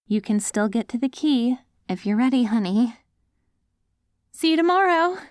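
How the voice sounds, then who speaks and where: High-voiced, devoted apocalypse wife, acted by a woman. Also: lively intonation, moderate pace, dry recording, studio quality, close to an everyday voice. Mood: hopeful, excited. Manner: tender, coaxing, speaks directly to a loved one. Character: devoted, hopeful wife caring for her zombie husband after apocalypse. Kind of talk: ASMR audio roleplay.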